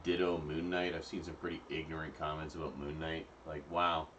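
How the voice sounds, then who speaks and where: A man with a very low voice talks in a relaxed, rambling way while he cleans his kitchen. He chats with his viewers and fills the gaps with loose fillers. Steady background noise sits under the home recording. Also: brisk, distant mic, muffled recording. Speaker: streamer cooking and cleaning. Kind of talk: casual live-stream chat.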